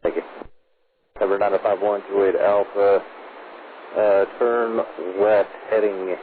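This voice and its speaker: Low, flat-voiced male controller on muffled radio. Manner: procedural, halting with pauses. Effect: aviation radio. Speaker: air traffic controller. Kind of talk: radio air traffic instructions. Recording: lo-fi noisy aviation radio transmission.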